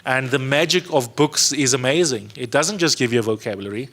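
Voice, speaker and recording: A man's voice: medium pitch, brisk, fairly bright tone. A man in his thirties with a South African accent, whose first language is Afrikaans, speaking earnestly on a panel to a young audience in an auditorium, holding a handheld mic and repeating words for stress. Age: 30s. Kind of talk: speech to a youth audience. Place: museum auditorium with a seated audience.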